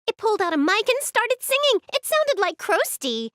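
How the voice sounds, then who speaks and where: A woman's voice: very high-pitched, big pitch swings, moderate pace, a bit bright, dry recording, clean studio sound, heavily acted. A woman voicing a cheerful, dreamy young bug-loving girl in a video game, rambling in a carefree, giggly way. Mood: amused. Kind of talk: English game voice acting.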